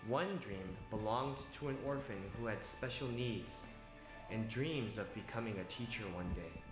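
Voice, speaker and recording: A man giving scripted documentary narration in a measured, earnest storytelling manner. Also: fairly low pitch. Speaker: video narrator. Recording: roomy with music behind. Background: instrumental music.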